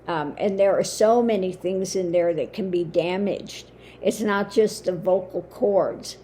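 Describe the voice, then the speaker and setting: Slow, low-voiced woman with a strained voice. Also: warm tone, slight background noise. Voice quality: lowered, limited voice. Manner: slow, halting, with many fillers. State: voice weakened after throat surgery. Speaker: spiritual channel host. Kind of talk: casual monologue to camera.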